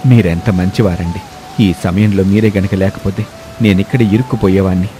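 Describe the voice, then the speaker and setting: A man's voice: low voice, fairly even intonation, normal speed, mellow, soft background music, natural voice. A man playing a polite, generous wealthy businessman who speaks courteously and reassuringly in a story. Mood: grateful.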